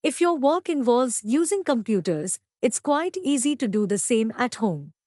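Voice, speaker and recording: High, lively woman reading aloud. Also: moderate pace, no echo, clean studio sound. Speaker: sample exam reader.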